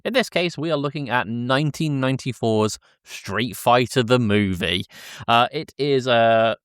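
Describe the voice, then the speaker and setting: A man's voice: medium pitch, measured pace, clear, resonant tone, no room sound, clean studio sound. A man hosting a casual film discussion podcast, often hesitating and correcting himself as he goes. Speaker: podcast host.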